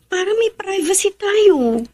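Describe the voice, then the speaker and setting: A sweet young Filipino wife in a radio drama. Her high voice moves expressively and unhurriedly. She is hesitant and deferential, feeling her way through each thought. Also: lively intonation, a little slow, mellow, no echo. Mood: hesitant, coy.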